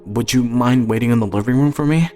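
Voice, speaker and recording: Audio-drama role: friendly, casual young-hearted woman, raised pitch played by a male narrator. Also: fast talker, dark tone, soft background music, close to an everyday voice. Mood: hurried, friendly. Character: Emily, friendly adult woman, Derek's childhood friend.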